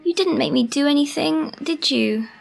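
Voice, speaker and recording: A woman's voice: high voice, moderate pace, gravelly. A woman acting in an amateur radio play as a kind, stoical care worker with a reassuring, familiar manner.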